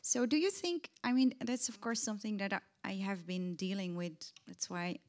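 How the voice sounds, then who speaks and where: A woman's voice: medium pitch, moderate pace. A woman, a writer moderating a panel, rephrasing as she searches for her questions. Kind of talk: panel discussion. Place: event hall on stage.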